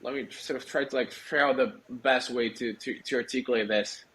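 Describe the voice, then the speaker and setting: Man explaining in halting phrases. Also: medium pitch, fairly even intonation, fairly bright tone. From Argentina. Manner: halting, searching for words, explanatory. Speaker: startup executive, interview guest. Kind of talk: conversational interview.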